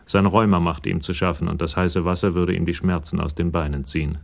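A deep-voiced man narrates a German radio crime drama from a script. His voice has a gravelly creak. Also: fairly even intonation, brisk.

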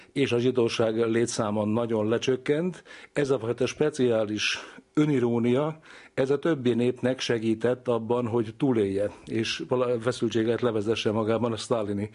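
A man's voice: mid-range voice, fairly even intonation, darkish tone. A man giving reflective, scholarly explanations in an interview, speaking discursively as an expert.

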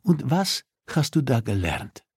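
A man's voice: medium pitch, sing-song, measured pace, vocal fry, breathy edge, dry recording, studio quality, pitched above the performer's own voice, heavily acted. A man narrating an audiobook, voicing a coolly persuasive, threatening female criminal who interrogates with insistence and condescension. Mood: probing, smug.

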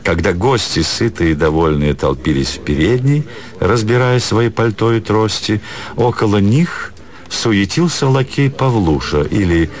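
A man's voice: fairly low pitch, expressive, a little slow. A man narrating a classic literary story as an actor in a radio production.

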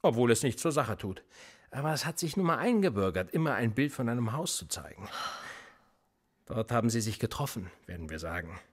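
An actor playing a sardonic, probing police interrogator in a naturalistic radio drama, speaking in a deliberate, controlled way. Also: male voice, mid-range voice, big pitch swings. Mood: cold, menacing. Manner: deliberate, probing, dryly ironic.

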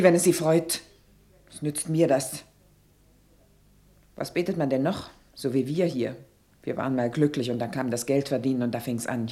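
An actress playing a distraught, anxious and reproachful mother in a German radio play, speaking haltingly and agitatedly. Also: rumbling low, mellow. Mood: bitter, brooding. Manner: halting, agitated, breaking into whispers.

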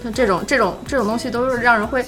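A woman's voice: a little high, steady tone, racing. Chatty woman over background music. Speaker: host of a radio drama cast chat. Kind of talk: casual chat.

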